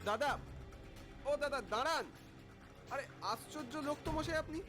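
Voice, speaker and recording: A man's voice: extremely high pitch, measured pace, over music. A man voicing an agitated man in a horror cartoon. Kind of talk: Bengali horror cartoon voice acting.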